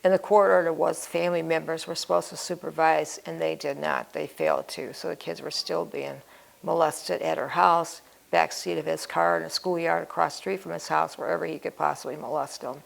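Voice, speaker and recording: Older American woman, low gravelly voice. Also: fairly even intonation, a little fast, poor audio. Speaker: interview guest. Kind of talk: personal life-story interview.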